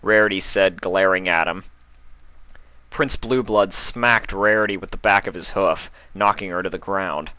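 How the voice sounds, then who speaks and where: Low, bright-voiced male story narrator. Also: a little slow, smooth, no room sound. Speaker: fan fiction reader, narrator. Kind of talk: scripted dramatic story narration.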